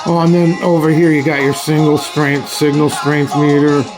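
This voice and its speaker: A man giving a casual, hands-on review in a halting, folksy way, often restarting his phrases. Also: fairly high pitch, little pitch movement, music in the background, rough recording. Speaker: radio reviewer. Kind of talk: casual hands-on product demonstration.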